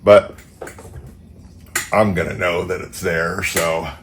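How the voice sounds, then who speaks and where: A man's voice: deep voice, fairly even intonation, slow pace, slight background noise. A man with a stuffed-up nose from allergies, hosting a casual how-to vlog in his garage and thinking aloud with fillers. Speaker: car channel host. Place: home garage beside a car.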